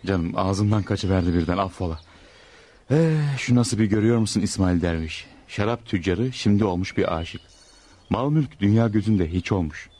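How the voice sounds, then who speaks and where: An actor playing a wry, chatty dervish disciple in a radio play, musing in a conversational way. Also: male voice, sits low, moderate pace, warm tone. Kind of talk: radio theatre acting. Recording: noisy radio play recording.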